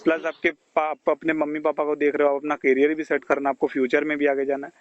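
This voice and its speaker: A man's voice: fairly high pitch, monotone, fast talker, no echo, muffled recording. A man with an Indian accent giving informal phone counselling, explaining things and checking that he is understood, on a call recording. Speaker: health counsellor advising a caller.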